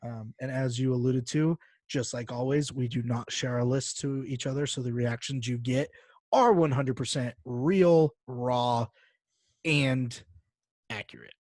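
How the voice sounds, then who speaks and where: A man chats as a podcast co-host in a medium-pitched voice. His delivery is informal and jokey, loose with swearing. The sound is dry, with very little room in it. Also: measured pace, darkish tone, no echo. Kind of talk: casual podcast chat.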